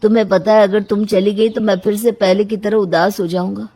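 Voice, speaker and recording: A devoted young man in love, played by a woman, with a dark, mellow and rather breathy voice. The delivery is earnest and plaintive storybook dialogue, needy and sincere. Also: mid-range voice, flat delivery, fairly quick. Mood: upset, pleading.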